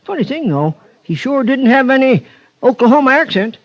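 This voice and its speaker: An actor playing a garrulous backcountry American old-timer, with a rural accent and a rambling, folksy manner in an old radio drama. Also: male voice, very high voice, very animated, normal speed, heavily acted. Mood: gossipy, wry. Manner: rambling, hesitant, folksy and chatty. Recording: muffled vintage radio recording.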